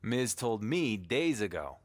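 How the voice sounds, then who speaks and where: Wrestling champion, video game cutscene, lively intonation, wry, casual, defensive, long reverb. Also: male voice, fairly low pitch, takes it slowly, exaggerated voice. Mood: puzzled. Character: defensive, sarcastic young male wrestling champion. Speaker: wrestling champion and show co-host.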